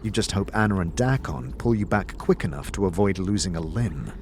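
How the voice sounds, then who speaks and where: A man's voice: low voice, expressive, brisk, a little hiss or hum. A man narrating a fantasy audio drama in a vivid, suspenseful, scripted storytelling style. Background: music.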